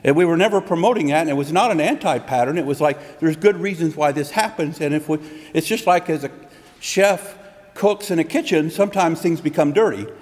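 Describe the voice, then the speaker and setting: A man's voice: on the high side, brisk, a little mellow. A middle-aged man speaking at a podium in a hotel conference room, giving an interactive, conversational talk as a consultant. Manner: conversational, restarts, laughs at own jokes. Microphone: podium mic. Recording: long hall reverb.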